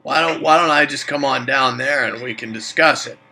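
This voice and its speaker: A man's voice: mid-range voice, fairly quick, a crowd in the background, lightly acted. A man playing a suspicious seventy-six-year-old father who rambles indignantly in folksy phrases on a prank call. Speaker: prank caller.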